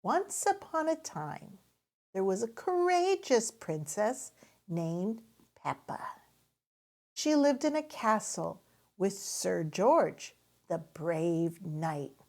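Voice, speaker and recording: A woman voicing a gentle storybook mother pig who soothes and tires as she tells bedtime tales. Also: mid-range voice, sing-song, slow pace. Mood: soothing.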